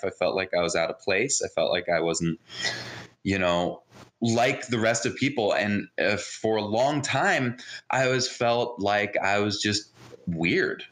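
A man's voice: fairly low pitch, a bit bright. A man with an American accent, raised in New Jersey, speaking casually and candidly as a podcast guest telling personal stories. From born in Israel, raised in New Jersey. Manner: informal, candid. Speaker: podcast guest, mindset coach. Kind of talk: casual conversational personal storytelling.

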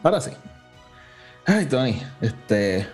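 A man's voice: medium pitch, sing-song, slow, a little mellow, faint music behind. A man with a Puerto Rican Spanish accent hosting a podcast in casual chat, informally mixing in English words. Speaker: podcast host.

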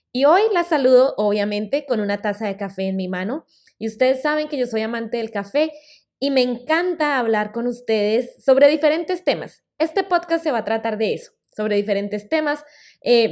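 A woman's voice: fairly high pitch, darkish tone. A woman in her thirties with a Colombian accent, chatting casually as she tells her own story on her solo podcast. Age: 30s. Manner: chatty and personal, uses fillers like "eh" and "pues". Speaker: podcast host.

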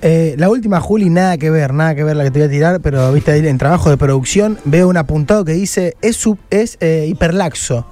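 Higher-voiced Argentine man, rambling and slangy. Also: fairly quick, hardly any pauses, warm tone. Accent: Argentine Rioplatense. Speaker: radio interviewer. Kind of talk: casual chat.

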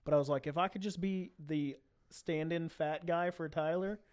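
An American man with a voice a little higher than most talks as a guest on a casual podcast. He tells stories in an animated, informal way, slipping into quoted remarks as he goes. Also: normal speed. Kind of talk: casual chat, storytelling.

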